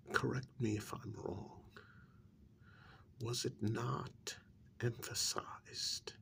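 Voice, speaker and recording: A man performs a stern government spy chief for a soft-spoken ASMR role-play. His low voice crackles with heavy gravel and often drops to a breathy half-whisper. He is formal and deliberate, with a disappointed, condescending air. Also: full character voice. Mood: patronizing, firm. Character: stern, disappointed male senior agent of secret government agency.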